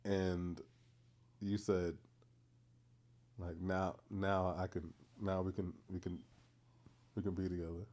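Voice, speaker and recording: A man in his thirties with a Boston accent, co-hosting a podcast and telling stories in a playful, animated way. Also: sits low, slow, pauses often. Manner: playful, animated storytelling, teasing. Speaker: podcast co-host. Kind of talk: casual chat.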